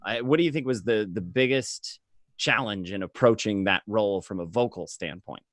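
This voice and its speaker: A man's voice: lowish voice, normal speed, fairly bright tone, dry recording, clean studio sound. A man hosting a casual interview over a video call, effusive and full of compliments for his guests. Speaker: interview show host. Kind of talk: casual remote cast interview.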